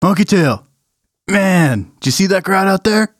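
Guy doing a hyped bro bass player, higher pitched, dry close sound. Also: animated, a little slow, dry recording. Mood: pumped. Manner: pumped, bro-ish. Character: hyped-up young male metal bassist, pacifist bro.

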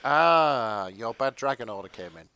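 A man's voice: medium pitch, animated, a little slow, vocal fry, pushed and bright, clear, resonant tone, no room sound. A man co-hosting a podcast, chatting casually in a teasing, sardonic way. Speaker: podcast co-host. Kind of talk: casual banter.

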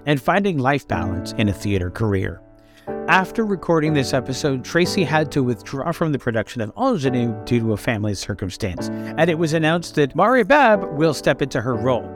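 A man, speaking as a podcast host and interviewer. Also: mid-range voice. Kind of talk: scripted podcast narration and interview.

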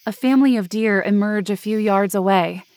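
A woman's voice: mid-range voice, moderate pace, a little mellow, dry recording, lightly acted. A young woman playing an anxious but determined narrator in a supernatural audio drama, earnest and descriptive. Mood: uneasy, hushed. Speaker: narrator and lead character.

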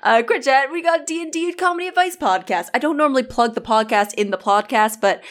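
A woman's voice: high-pitched, brisk. A woman giving an informal mid-show podcast announcement in a wry, irreverent, sweary way.

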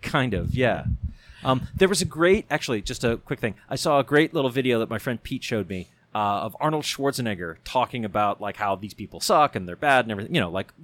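Man talking politics in fast bursts. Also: medium pitch, no echo. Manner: animated, quick bursts with many restarts. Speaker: show regular and bookstore owner. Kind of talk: casual political discussion.

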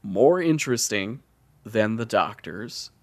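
A man's voice: lowish voice, lively intonation, takes it slowly, no room sound. A man hosting a podcast, talking casually and comedically with a wry, profane streak. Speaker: podcast host.

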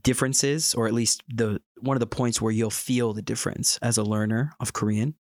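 A man hosting a podcast, talking in a relaxed, thoughtful way and explaining with examples. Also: mid-range voice, normal speed, no echo, clean studio sound. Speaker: podcast host. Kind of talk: casual podcast chat.

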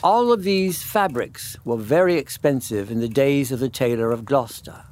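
A man narrating a classic children's story in measured phrases with dramatic pauses. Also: medium pitch, lively intonation, darkish tone.

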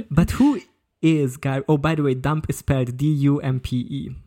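A man's voice: medium pitch, animated, a little slow, dark tone. A man presenting a story on a casual comedy podcast, partly reading from notes. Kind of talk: casual presentation with read-out excerpts.

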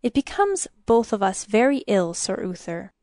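A woman's voice: medium pitch, big pitch swings, normal speed, a little mellow, dry recording, studio quality. A woman voicing a proud, vain young village beauty in a storybook, with a haughty, formal manner.

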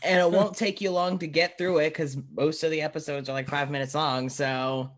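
A man's voice: on the high side, fairly even intonation, moderate pace. A man hosting a podcast interview, chatting informally and with enthusiasm. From United States. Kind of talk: casual chat.

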